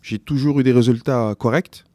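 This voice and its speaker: A middle-aged man whose first language is not French, answering interview questions as a teacher, slowly and hesitantly with many restarts. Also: medium pitch, fairly even intonation, a little mellow, dry recording, clean studio sound.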